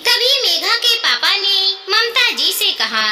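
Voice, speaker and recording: Very high-pitched woman storyteller, echoey sound. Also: very animated, takes it slowly, bright, forceful tone. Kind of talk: dramatic scripted moral-story narration. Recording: echoey room with long reverb.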